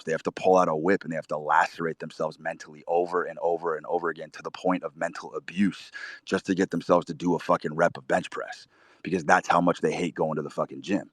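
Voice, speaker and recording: A man hosting a talk show, giving blunt, profane motivational advice in a preachy, forceful way. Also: low voice, no room sound. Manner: forceful, swears constantly, preachy. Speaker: show host.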